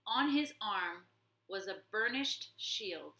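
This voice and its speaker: A woman narrates a storybook in a dramatic read-aloud style. Her pace is slow and her tone bright and forceful. The room adds noticeable echo. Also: medium pitch, animated. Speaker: storybook reader.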